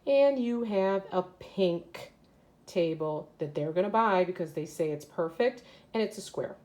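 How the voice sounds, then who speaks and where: A woman's voice: lowish voice, lively intonation, measured pace, darkish tone. A woman teacher reading a children's educational book aloud and explaining it to young pupils as she goes. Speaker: third grade teacher.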